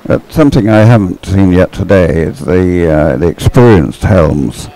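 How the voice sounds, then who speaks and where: A man's voice: deep voice, animated, normal speed, creaky, dark tone, low-quality recording. A man giving casual, explanatory live race commentary as a sports commentator.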